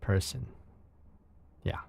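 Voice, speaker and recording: A man's voice: extremely slow, a little mellow, no room sound. A man from China with a Chinese accent and a soothing voice, chatting casually with viewers on his livestream in careful, searching second-language phrasing. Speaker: live streamer.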